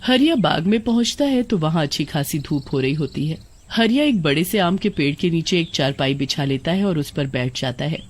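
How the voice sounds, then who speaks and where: A woman's voice: low-pitched, lively intonation, normal speed. A woman storyteller reading a scripted tale as narrator.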